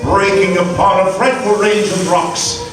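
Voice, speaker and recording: A man narrating a classic story in a measured, expressive live radio-play reading. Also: sits high, far from the mic, music in the background. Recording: echoey live stage from afar.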